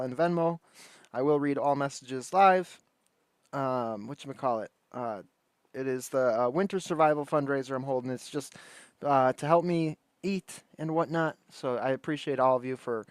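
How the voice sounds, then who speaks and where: A man's voice: mid-range voice, moderate pace, clear, resonant tone, dry recording. A man hosting a livestream in casual chat, talking in an informal, slangy, rambling way. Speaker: livestream host.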